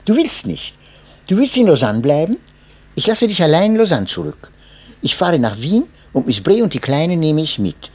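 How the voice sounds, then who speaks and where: A woman's voice: deep voice, very animated, normal speed, darkish tone. A man voicing a stern, scornful mother who drills her young son harshly and mocks him, in a spoken memoir reading. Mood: angry. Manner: harsh and mocking.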